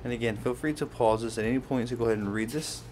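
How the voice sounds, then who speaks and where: A man's voice: mid-range voice, fast talker, a little mellow. Man giving a tutorial over background noise. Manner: step-by-step, pointing things out as he handles them. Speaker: host of a how-to product video. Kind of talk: casual hands-on tutorial narration.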